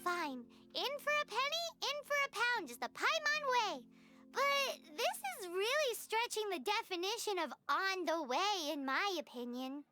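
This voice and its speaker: A woman's voice: very high voice, animated, unhurried, pushed and bright, full character voice. A woman voicing a tiny, cheeky, childlike fairy companion in an anime video game. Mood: grumbling. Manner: refers to self in third person, exclamatory, indignant. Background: music.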